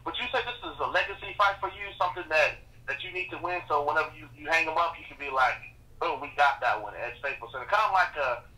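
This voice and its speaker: A man's voice: fairly high pitch, fast, pushed and bright, recorded from a distance. A man working as a sports reporter, asking casual, slangy, stumbling questions over a thin telephone line.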